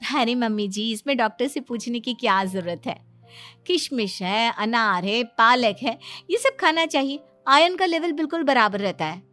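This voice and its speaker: A woman's voice: a little high, expressive, moderate pace, fairly bright tone, soft background music. A woman voicing a boastful, breezy young daughter-in-law who knows everything, in an acted comedy family story. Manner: boastful, breezy, self-assured. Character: cocky know-it-all young daughter-in-law, proudly showing off.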